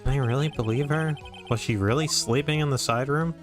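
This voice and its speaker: A man voicing an earnest, determined young defense lawyer in an amateur courtroom game fan dub, with a deliberate, accusing manner. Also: mid-range voice, soft background music, lightly acted.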